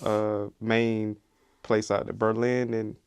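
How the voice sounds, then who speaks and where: A man's voice: on the low side, little pitch movement, takes it slowly, darkish tone, clear, resonant tone. A man guesting on a casual podcast, laid-back and reflective, often saying "man". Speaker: guest, rapper. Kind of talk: casual conversational interview.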